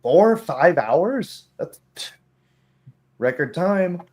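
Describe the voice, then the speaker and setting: A man's voice: sits high, sing-song, very slow, a little mellow, slightly rough, voice pushed up, heavily acted. A man voicing a friendly, impressed fisherman calling out in a tabletop game.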